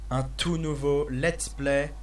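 Slow, hesitant, slightly hoarse young man. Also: on the high side, fairly even intonation. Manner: hesitant, many filler sounds and restarts. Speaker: gaming video host. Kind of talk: casual let's play commentary.

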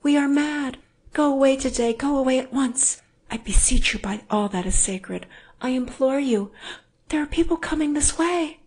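A woman's voice: a little high, fairly even intonation, a little slow, slightly rough, pitched above the performer's own voice. A woman voicing an anguished, frightened married woman in love, breathless and pleading, in a dramatic audiobook reading. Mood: horrified pleading.

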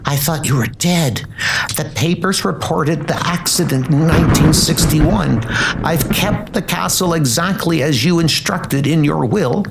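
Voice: medium pitch, a little slow, gravelly, a little hiss or hum, rough recording. A performer voicing a fussy, plain-spoken elderly woman, a castle housekeeper, in a comic book dub. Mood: astonished, concerned.

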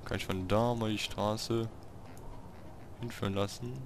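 A man giving casual gameplay commentary, talking to himself in short, halting remarks. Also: fairly low pitch, flat delivery, noisy background. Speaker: let's player hosting a city-building game series.

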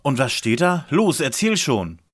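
A man playing a bossy, measured young lead detective in a parody detective audio drama. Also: medium pitch, very animated, husky. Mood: impatient.

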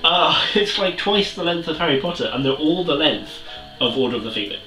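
A man's voice: fairly high pitch, expressive, fairly quick, sharp tone, distant mic, soft background music. A man in his twenties with a Welsh accent, chatting animatedly and self-mockingly in a casual book review vlog. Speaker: book vlogger. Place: small room with bookshelves, indoors. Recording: roomy echo, mic set back.

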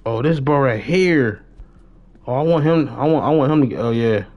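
A man's voice: mid-range voice, animated, normal speed. Young American man, dull lo-fi mic. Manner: slangy, repeats phrases. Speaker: livestreamer. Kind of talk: casual gaming stream chatter. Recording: dull lo-fi game-stream mic.

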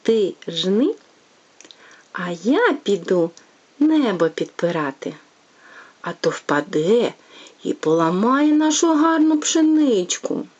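A woman's voice: medium pitch, very animated, slow, pauses often, darkish tone, highs cut off. A woman voicing a sly, lazy female fox in a children's storybook, coaxing and sweet-talking in a sing-song way.

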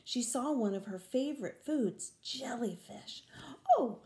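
Storyteller, older woman, slow expressive children's reading, slightly high mellow voice. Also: roomy sound. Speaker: read-aloud storyteller. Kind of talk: children's picture-book reading. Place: small room at home, indoors.

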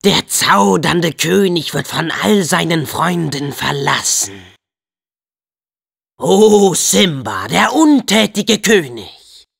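A man playing an upbeat, brave, earnest teenage boy hero in a video game dub, with an eager, expressive, youthful delivery. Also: fairly high pitch, slow pace, creaky, breathy edge, in a large hall, exaggerated voice. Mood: teasing.

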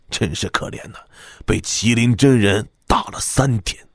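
Gravelly gruff old swordsman. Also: fairly low pitch, takes it slowly, dry recording, clean studio sound, exaggerated voice. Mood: gossipy, grim. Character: gloating, gruff old male swordsman, blunt and teasing. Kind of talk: Chinese wuxia radio drama acting.

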